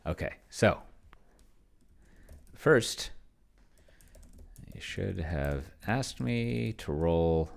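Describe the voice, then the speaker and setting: A middle-aged man with a low voice talks casually as he plays a tabletop game on his own. He rambles and halts, half muttering his thoughts aloud, with computer keys clacking as he types. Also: animated, gravelly. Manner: rambling, halting, muttering to himself. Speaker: host of a solo roleplaying video. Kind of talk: casual thinking-aloud commentary while playing a tabletop game. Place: at home, at a computer.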